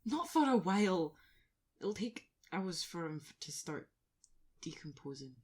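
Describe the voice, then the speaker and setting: An actress playing a compassionate, panicky survivor who swears freely, in a naturalistic audio drama. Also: female voice, on the low side, sing-song, a little slow. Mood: uneasy, indignant.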